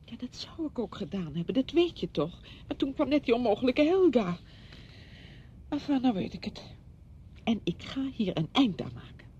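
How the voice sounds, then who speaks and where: An actress in a Dutch radio play, playing a brisk, patronising woman who fusses chattily over a widowed friend. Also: very animated, mellow. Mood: concerned, defensive. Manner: chatty, fussing, condescending. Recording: dull radio recording with rumbling noise.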